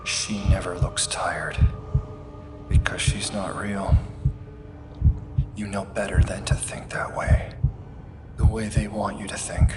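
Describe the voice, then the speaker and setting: A man playing a brooding human rebel in a sci-fi audio drama, talking low and hushed. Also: little pitch movement, slow, long pauses, in a whisper. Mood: brooding, conflicted. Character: tense young man, human rebel guiding fugitive androids.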